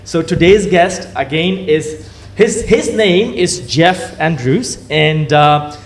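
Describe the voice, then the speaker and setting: A man's voice: on the high side, expressive, takes it slowly. A man hosting a podcast, introducing his guest eagerly and stumbling through fillers and restarts. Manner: enthusiastic, hesitant with fillers and restarts. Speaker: podcast host. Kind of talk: casual interview hosting. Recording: distant mic with faint noise.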